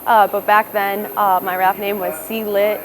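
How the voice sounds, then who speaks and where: A woman's voice: mid-range voice, little pitch movement, moderate pace. A young woman with a thick Midwestern accent, a rapper speaking candidly in a documentary interview and often restarting her words. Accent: Midwestern American. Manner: candid, reflective, self-correcting restarts. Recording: noticeable background noise.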